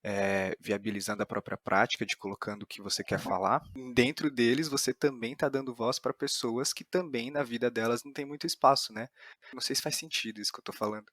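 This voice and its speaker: A man's voice: medium pitch, steady tone, a little fast, a bit bright, dry recording. Brazilian man interviewing, close dry sound. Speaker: podcast host, interviewer. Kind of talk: conversational interviewing.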